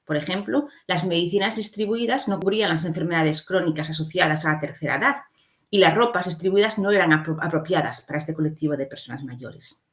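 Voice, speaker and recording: A woman's voice: on the low side, a little fast. A woman from giving an explanatory slide lecture as a conference speaker, often adding short checking tags.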